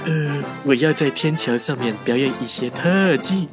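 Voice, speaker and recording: A woman's voice: low voice, moderate pace, close to an everyday voice. A woman voicing a lovesick, hopeful, earnest and slightly clumsy crocodile in a children's storybook audio drama. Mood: determined, wistful. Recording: music under the voice. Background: guitar music.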